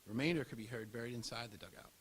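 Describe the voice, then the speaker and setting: A man's voice: mid-range voice, very fast. Man narrating history over light hiss. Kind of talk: scripted documentary narration read aloud. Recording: audible hiss and background noise.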